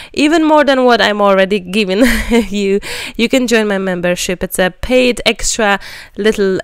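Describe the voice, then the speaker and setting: A woman from Poland with a Polish accent, chatting happily as she works through a craft tutorial, a little hoarse and rambling. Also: mid-range voice, no echo, clean studio sound. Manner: bubbly, rambling, lively chatter. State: slightly hoarse, clears throat. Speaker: craft channel host. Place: indoors at a craft table.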